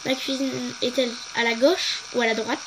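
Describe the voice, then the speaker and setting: Young woman, stuffy cold voice, high-pitched, faint noise behind, halting casual chat. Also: steady tone. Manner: hesitant, many fillers. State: congested with a cold. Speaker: gaming video host. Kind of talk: casual game walkthrough.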